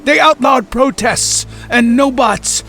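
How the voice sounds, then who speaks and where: A man's voice: extremely high pitch, takes it slowly, vocal fry, pushed and bright, full character voice. A man playing an anxious, emphatic older mentor of a young squad in a sci-fi audio drama. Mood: alarmed, stern. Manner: emphatic, urgent, theatrical. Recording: music behind the voice.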